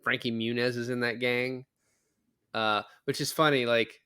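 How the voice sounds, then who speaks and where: A man's voice: mid-range voice, flat delivery, unhurried, sharp tone, clean tone, dry recording, studio quality. A man talking casually about films as a podcast co-host, explaining things in a conversational way. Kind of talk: casual film discussion.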